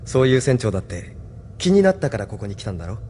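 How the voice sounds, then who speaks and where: A man's voice: mid-range voice, sing-song, fast talker, mellow, a little hiss or hum, lightly acted. An actor playing an earnest, troubled young male pilot hero with an emotional manner in an audio drama. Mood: troubled, regretful. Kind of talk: Japanese audio drama acting.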